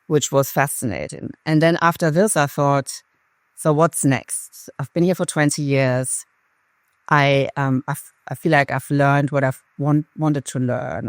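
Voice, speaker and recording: A middle-aged woman with a German accent, a marketing executive talking candidly as an interview guest, lively and self-deprecating with frequent restarts. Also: deep voice, no room sound.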